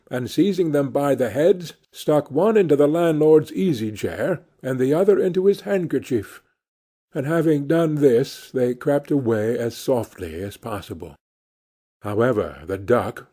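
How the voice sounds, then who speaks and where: A man's voice: medium pitch, mellow. A man reading a fairy tale aloud as scripted storybook narration. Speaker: audiobook reader.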